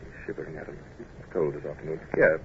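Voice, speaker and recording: An actor playing a weary, proud British naval commander who is gruffly kind, with hesitant, clipped speech and throat-clearing, in old-time radio drama style. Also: male voice, very deep voice, fairly even intonation, fast talker. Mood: tired, kindly. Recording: muffled noisy old radio recording.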